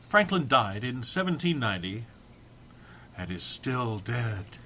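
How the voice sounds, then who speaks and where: A man's voice: medium pitch, sing-song, unhurried, pushed and bright, slight background noise. A man giving an amateur, theatrical dramatic reading of a humorous text, prone to amusement and stumbles. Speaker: amateur reader.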